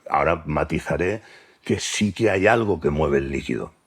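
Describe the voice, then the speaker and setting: A man's voice: extremely low pitch, animated, normal speed, slightly rough. A man with an accent from Spain, giving an unscripted expert explanation in a didactic way, often checking that he is understood. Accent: Spanish from Spain. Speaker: science expert explaining brewing.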